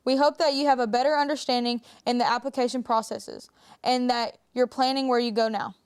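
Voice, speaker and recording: A woman's voice: a little high, steady tone, brisk, smooth, dry recording, clean studio sound. A young woman from the student council making a polite, read-aloud announcement.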